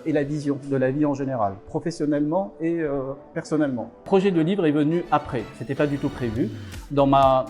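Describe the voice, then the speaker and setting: A man's voice: a little high, mellow. A middle-aged man from Algeria, a psychiatrist and author, answering interview questions with many fillers and restarts.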